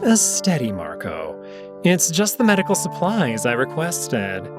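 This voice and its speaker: Casting: man playing a smug palace jackal, unhurried and formal, with wide swings of intonation and faint music behind. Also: a little high, huge pitch swings. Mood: calm, probing. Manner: measured, smooth-talking, formal. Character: smug, composed male jackal noble of imperial palace.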